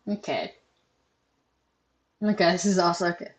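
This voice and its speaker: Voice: mid-range voice, normal speed. A young adult reaction host commenting casually, rambling with many ums and casual swearing.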